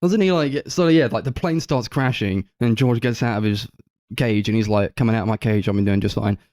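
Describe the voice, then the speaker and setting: A man chats casually as a film podcast co-host in a dry-sounding recording. His delivery is animated and rambling, acting out lines as he retells things and dropping in swear words. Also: fairly low pitch, fairly quick, no echo. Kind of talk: casual film review chat.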